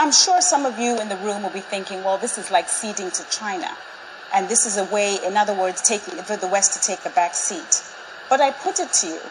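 A woman in her forties, from Zambia, gives a prepared public talk in a measured, persuasive and emphatic way to a live audience. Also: mid-range voice, noisy background. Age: 40s. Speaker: speaker. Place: large auditorium with live audience.

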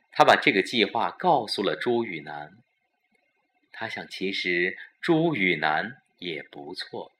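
低沉而明亮有力的男声悬疑旁白. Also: male voice, lowish voice, sharp tone. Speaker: audio drama narrator. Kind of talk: dramatic suspense story narration.

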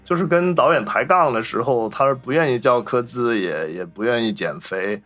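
A man's voice: medium pitch, moderate pace, fairly bright tone, faint music behind. A man hosting a podcast, casually telling film anecdotes and acting out the remarks he reports. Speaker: podcast host.

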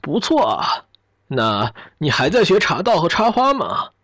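A man's voice: on the high side, wildly swooping pitch, measured pace, a bit bright, breathy edge, no room sound, clean studio sound, heavily acted. A man acting a chatty, teasing member of a family circle in an audio drama. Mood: teasing, hearty.

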